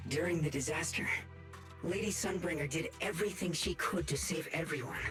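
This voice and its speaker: A man voicing a gentle, kind male bamboo being who tells a story in a calm, measured way in game dialogue, with music under it. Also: fairly high pitch, natural voice. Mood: somber.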